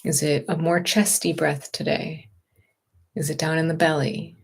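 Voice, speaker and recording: A woman leading a guided meditation and gentle movement practice as a teacher, speaking in a gentle, unhurried, reassuring way. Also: low-pitched, level tone, vocal fry, husky.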